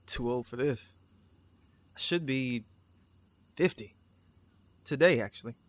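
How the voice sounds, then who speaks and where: A man's voice: medium pitch, slow, dry recording, lightly acted. A man playing a curious, world-weary time leaper of fifty in an audio drama, casual and familiar. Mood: casual, pensive. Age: 50s. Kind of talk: naturalistic audio drama dialogue.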